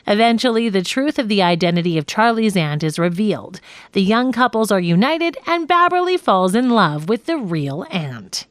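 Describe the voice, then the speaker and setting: Female audiobook narrator, lively pitch, measured nonfiction read, dry booth. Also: no echo.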